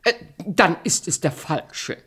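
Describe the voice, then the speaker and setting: A woman's voice: lowish voice, animated, slow pace, fairly bright tone, slightly rough, heavily acted. An actress playing a vain, cruel queen in a radio play, theatrical, by turns wheedling and imperious. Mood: coaxing, sly. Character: vain, cruel queen, at times posing as a coaxing pedlar woman.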